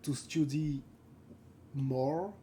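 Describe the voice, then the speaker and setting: A man from France with a French accent, thinking aloud and chatting with viewers while he paints live, halting and searching for words. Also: a little high, steady tone, warm tone, slight background noise. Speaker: concept art streamer. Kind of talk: live art stream chat.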